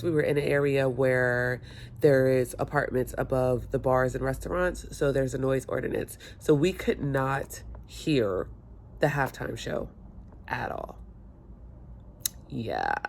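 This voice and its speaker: A woman's voice: rumbling low, expressive, slow pace, a little hiss or hum. Chatty hungover woman vlogging at home. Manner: chatty, rambling, self-mocking. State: stuffy and hungover. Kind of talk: casual chat to camera. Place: home room by windows. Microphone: phone held or propped.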